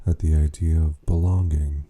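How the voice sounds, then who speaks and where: A man leading a guided meditation, speaking in an unhurried, gently questioning way with long pauses. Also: a bass voice, flat delivery, slightly rough. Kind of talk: slow spoken guided meditation.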